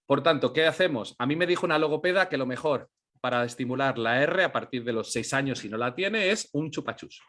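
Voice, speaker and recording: A man's voice: medium pitch, lively intonation, brisk, fairly bright tone. A man, a guest teacher answering audience questions in a patient, explanatory way, often restarting and checking that listeners follow.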